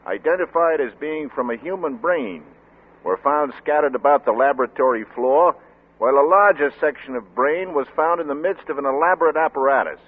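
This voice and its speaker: An actor playing a sober news reporter, reading in a measured, formal, matter-of-fact vintage radio drama style. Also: male voice, a little high, animated. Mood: sober, factual. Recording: tinny narrow-band old radio recording.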